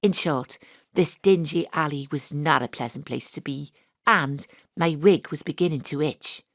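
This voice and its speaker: A woman's voice: sits low, unhurried, no room sound. A woman playing a wry, hard-boiled private detective, narrating her case dryly in a noir audio drama. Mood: wry, gritty. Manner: wry, dry first-person storytelling.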